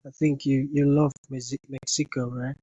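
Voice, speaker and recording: A man's voice: medium pitch, little pitch movement, warm tone, no echo, dull audio. A man hosting an interview, asking questions and giving short reactions. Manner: slow, brief reactions and questions. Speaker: interview host.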